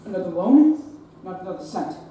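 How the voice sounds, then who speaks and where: A young actress playing a stern, blunt hospital chief. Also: female voice, fairly low pitch, lively intonation, a little fast, natural voice. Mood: stern, lecturing. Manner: lecturing, forceful, clipped. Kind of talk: stage play acting. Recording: echoey stage from far off, noisy.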